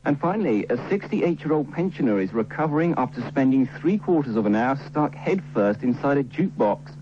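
A man reads a radio news bulletin in a medium-pitched voice. The recording is somewhat muffled, with faint hum. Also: animated, brisk. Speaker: radio newsreader.

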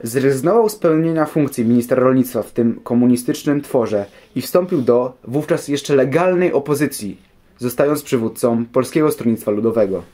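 Young male, mellow timbre, mid pitch, for scripted history commentary. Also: normal speed. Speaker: guest speaker in a history audio programme.

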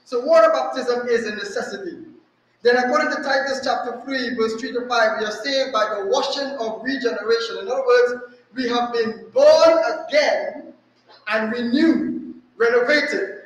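High-voiced Trinidadian preacher in an echoey church. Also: male voice, normal speed. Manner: emphatic, rhetorical questions and repetition. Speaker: resident preacher. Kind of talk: sermon. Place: small church room, indoors. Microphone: stand mic at a lectern. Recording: very echoey room.

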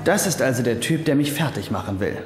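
An actor playing a young, insecure and boastful hospital doctor, narrating wryly in a German-dubbed TV comedy. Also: male voice, medium pitch, lively intonation, quick pace, reverberant, big-room sound, loud music behind the voice, close to an everyday voice. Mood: smug, wry. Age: young adult. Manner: self-dramatizing, wry. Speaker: lead character and narrator. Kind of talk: German-dubbed TV comedy, inner monologue and dialogue.

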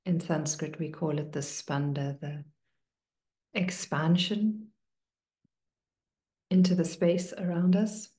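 A woman meditation teacher leading a guided meditation in a hushed, soothing manner, leaving long pauses between short phrases. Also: low-pitched, fairly even intonation. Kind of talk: guided meditation, slow and spoken to listeners. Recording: roomy, echoing sound.

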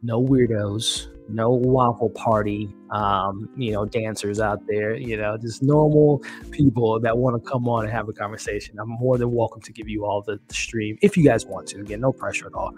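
A man's voice: on the low side, fairly even intonation, normal speed, darkish tone, soft background music. A man in his thirties hosting a casual live stream, chatty and upbeat, laughing often and thanking viewers, close to a desk mic in a small room. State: losing his voice. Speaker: livestream host. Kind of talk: casual live-stream chat reading comments. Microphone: studio mic on desk, close.

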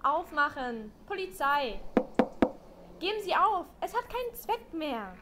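A woman playing a brisk, tough, cheeky young police trainee in a radio play. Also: high voice, very animated, heavy background noise. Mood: commanding, firm. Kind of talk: amateur radio play acting.

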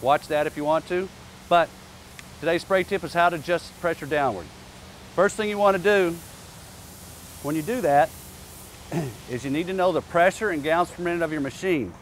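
A man's voice: fairly high pitch, a little hiss or hum. A man in his forties giving a plainspoken, practical how-to demonstration outdoors on a lapel mic. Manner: plainspoken, practical, casual asides. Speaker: company presenter. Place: outdoor industrial yard.

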